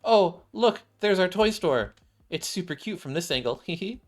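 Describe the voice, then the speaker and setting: A man's voice: sits high, lively intonation, normal speed, pitched above the performer's own voice. A man voicing a cheerful, playful young woman from a video game. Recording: music playing behind the voice.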